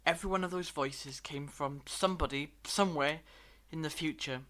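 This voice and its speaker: An actor playing a knowing time traveller in an audio drama, speaking in a measured, grave, explanatory way. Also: mid-range voice, a bit bright, lightly acted. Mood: measured, explaining.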